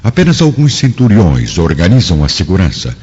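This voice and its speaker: A man's voice: low voice, wildly swooping pitch, normal speed, dark tone, slightly rough. A man with a Brazilian accent giving dramatic scripted narration for a radio drama. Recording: dull lo-fi audio with music.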